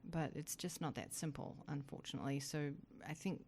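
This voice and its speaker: A woman's voice: low voice, steady tone, fairly quick, a little mellow, a little hiss or hum. A middle-aged woman with a New Zealand accent, speaking conversationally and reflectively as an interview guest, explaining things.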